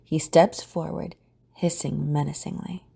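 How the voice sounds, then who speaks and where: A woman reading scripted story narration for an audio drama in a mellow, husky voice. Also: low-pitched, flat delivery, a little slow.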